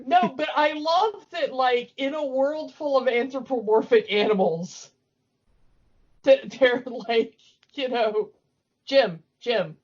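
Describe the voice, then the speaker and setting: A woman's voice: high-pitched, takes it slowly, darkish tone, slightly rough, lo-fi sound. A woman co-hosting a podcast, recapping a cartoon in casual chat with rambling, amused storytelling. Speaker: podcast co-host.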